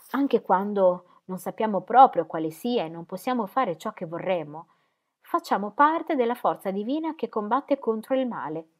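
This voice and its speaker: A woman reading aloud voicing an earnest, devout young woman who talks softly and sincerely, then with warm fervor. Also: mid-range voice, voice pushed up, close to an everyday voice.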